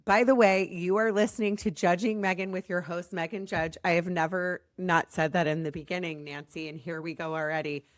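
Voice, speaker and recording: An American woman hosting a podcast in a chatty, rambling, self-deprecating way, her voice slightly raspy as it recovers from being lost. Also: on the low side, fairly even intonation, fairly quick, few pauses, no echo. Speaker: podcast host.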